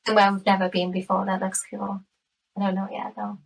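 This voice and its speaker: A woman's voice: medium pitch, little pitch movement, fairly quick, gravelly, slightly rough, distant mic, rough recording. A woman in her late thirties from the Newcastle area with a Geordie accent, chatting casually as a podcast guest. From Newcastle area, England. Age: late 30s. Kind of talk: casual chat.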